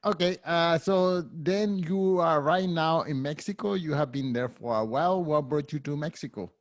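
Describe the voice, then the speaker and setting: A man's voice: fairly high pitch, normal speed. A man hosting an interview, asking brief, direct questions. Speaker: podcast host.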